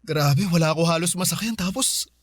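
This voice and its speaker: A man's voice: on the high side, a little fast, noisy background, natural voice. A man playing a patient, put-upon young boyfriend and son in a Filipino radio drama, earnest and hesitant. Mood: weary, apologetic. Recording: music behind the voice.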